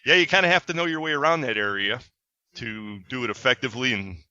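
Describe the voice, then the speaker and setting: A man's voice: medium pitch, animated, fast, bright, forceful tone, smooth, no echo. A man hosting a podcast, chatting casually and plugging his company in an upbeat way. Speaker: podcast host. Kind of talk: casual chat with plugs. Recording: dull lo-fi sound.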